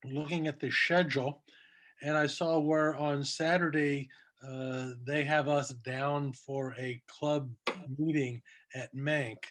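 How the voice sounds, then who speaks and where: A man raising a point informally and deliberately in an online club meeting. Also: a little high, steady tone, fairly bright tone. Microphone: video call.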